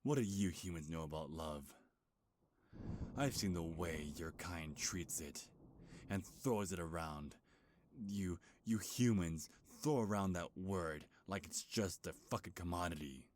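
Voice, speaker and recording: A man playing an anguished, bitter, centuries-old cursed beast in a dramatic ASMR roleplay, with a gravelly, husky growl and a slow, brooding, halting delivery. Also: deep voice, a little mellow, a little hiss or hum. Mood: bitter, scornful.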